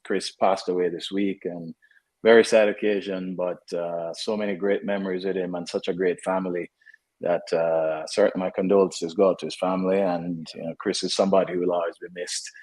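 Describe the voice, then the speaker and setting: Low-voiced Jamaican man, relaxed coach. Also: moderate pace, poor audio. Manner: relaxed, conversational, frequent fillers and restarts. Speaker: soccer head coach. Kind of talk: casual interview.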